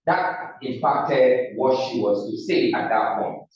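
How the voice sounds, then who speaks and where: A man's voice: medium pitch, slow pace, darkish tone, a little hiss or hum. A man with a Nigerian accent teaching a public speaking class, often posing a question to the room and then answering it himself. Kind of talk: classroom lecture. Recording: echoey room, slightly dull sound.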